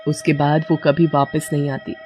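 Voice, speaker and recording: Woman narrating a story over soft music. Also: low voice, level tone, moderate pace.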